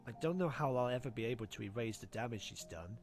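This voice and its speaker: An earnest, grateful young cartoon pony, voiced by a man, with a very expressive delivery. The voice is sincere and a touch remorseful, over faint background music. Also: medium pitch, fast talker, close to an everyday voice. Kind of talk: animated fan drama dialogue.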